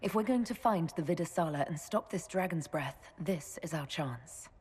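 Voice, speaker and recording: An actress playing a calm, direct, authoritative heroine leader in a video game. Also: female voice, sits low, moderate pace, slightly rough, full character voice. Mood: calm, resolute. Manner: direct, controlled.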